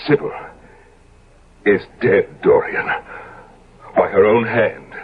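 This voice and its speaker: An actor playing a grave, indignant gentleman in a 1970s radio drama, speaking with solemn reproach. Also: fairly low pitch, steady tone, slow, breathy edge. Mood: grave, appalled. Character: grave, indignant gentleman, an appalled moral friend. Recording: tinny old radio recording.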